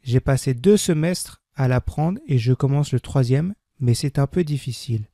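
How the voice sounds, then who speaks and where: Creaky-voiced man reading French slowly. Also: medium pitch, dark tone, no echo, clean studio sound. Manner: repeats each sentence, deliberate diction. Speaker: French language teacher. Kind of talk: slow scripted reading for learners.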